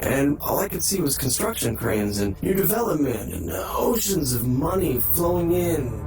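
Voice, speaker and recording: Despairing therapy client, hesitant, brooding, visual novel game, a bit distant, clear music underneath. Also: male voice, medium pitch. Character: despairing, hollow-feeling man in therapy. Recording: slightly distant, music behind.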